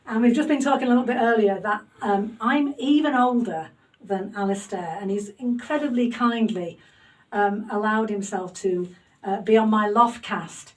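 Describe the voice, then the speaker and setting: A woman's voice: mid-range voice, moderate pace, darkish tone, distant mic, low-quality recording. A woman hosting a homemade podcast interview in her loft, chatty and self-deprecating with plenty of fillers. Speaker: podcast host. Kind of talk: casual interview chat. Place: home loft.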